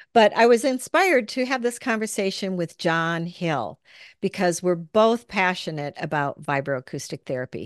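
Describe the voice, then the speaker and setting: A woman's voice: lowish voice, dry recording, studio quality. Chatty woman hosting a podcast. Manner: chatty, searching for words with many fillers. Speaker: podcast host. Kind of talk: casual podcast interview.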